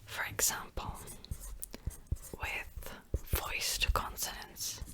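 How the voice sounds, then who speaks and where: A woman whispering softly and close to the mic as she gives a gentle language lesson, slowly repeating words. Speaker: language teacher.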